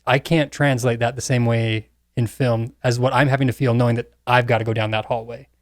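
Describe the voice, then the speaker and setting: A man chats as a podcast panelist in a middle-pitched voice. He is informal and puts emphasis into his points. The sound is dry, with hardly any room. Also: level tone, a little fast, no room sound. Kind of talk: casual chat.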